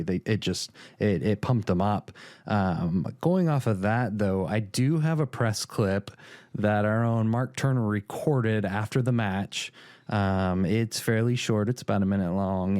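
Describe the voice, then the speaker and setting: Deep, dry-sounding podcast host. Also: male voice, a little mellow, dry recording. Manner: earnest, restarts. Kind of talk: casual chat.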